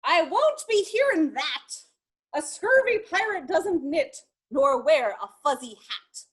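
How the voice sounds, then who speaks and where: A woman's voice: high-pitched, big pitch swings, slow, heavily acted. A woman voicing a bossy, furious pirate captain in a storybook, barking stern orders in pirate slang. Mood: indignant.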